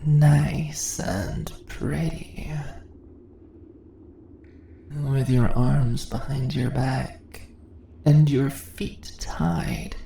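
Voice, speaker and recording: Slow, low, seductive spider-woman played by a woman. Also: creaky, mellow, full character voice. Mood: gloating. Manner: teasing, purring, slow, often dropping into whispers. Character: seductive, dominant spider-woman monster toying with captured prey. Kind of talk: ASMR fantasy roleplay. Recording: roomy echo, faint background noise.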